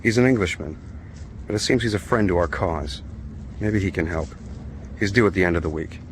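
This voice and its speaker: Low-voiced, weary sailor prisoner man acting. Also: slight background noise, close to an everyday voice. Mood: weary, calm. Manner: measured, world-weary. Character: weary, resourceful, steady American sailor prisoner. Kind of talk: radio drama acting.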